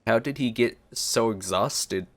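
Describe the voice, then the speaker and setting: A man's voice: medium pitch, a little slow, creaky. A man giving casual reaction commentary as he plays through a video game. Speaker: let's player.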